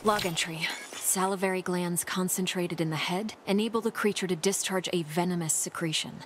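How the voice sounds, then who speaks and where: An actress playing an analytical woman scientist in a video game, dictating field observations in a clinical, measured, observant way. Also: lowish voice, fairly even intonation, natural voice. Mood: clinical, studious. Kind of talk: video game voice acting, scientific log narration. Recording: clear background noise, game ambience.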